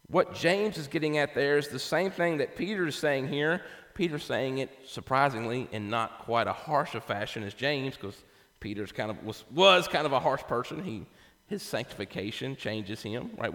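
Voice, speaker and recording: A man delivers a sermon in a mid-range voice that rings out with long hall reverb. He explains scripture conversationally and emphatically, often tagging his points with a quick check-in to his listeners. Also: medium pitch, a bit bright. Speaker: preacher. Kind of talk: conversational sermon with Bible exposition.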